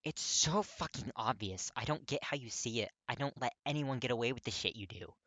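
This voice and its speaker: A man voicing a gruff, hot-tempered young man awkwardly confessing his feelings in an audiobook, blunt, halting and strained. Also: medium pitch, animated, fairly bright tone, no echo. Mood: exasperated. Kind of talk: dramatic audiobook character reading.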